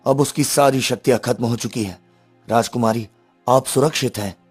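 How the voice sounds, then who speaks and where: A man's voice: medium pitch, moderate pace, breathy edge, soft background music, lightly acted. A man voicing a brave young prince in a cartoon story, speaking formally and resolutely. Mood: reassuring. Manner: formal, declarative.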